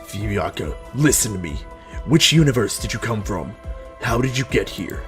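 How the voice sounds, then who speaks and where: A man voicing a calm, mysterious, protective male fighter in a story, composed, grave and measured. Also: lowish voice, soft background music, close to an everyday voice.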